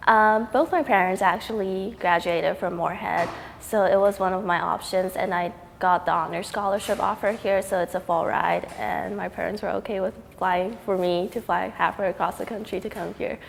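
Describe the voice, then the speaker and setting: A woman's voice: medium pitch, fairly even intonation, normal speed, vocal fry, hardly any pauses. A young woman from Taiwan, a student artist, talking casually in an interview with frequent ums and likes. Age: young adult. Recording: reverberant hall with faint noise.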